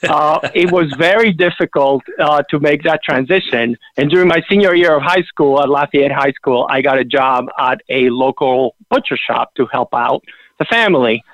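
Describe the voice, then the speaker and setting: A man's voice: fairly high pitch, normal speed, a bit bright, no echo, muffled recording. A man from Argentina with an Argentine accent, a radio show guest telling a personal story with wry, self-deprecating humor.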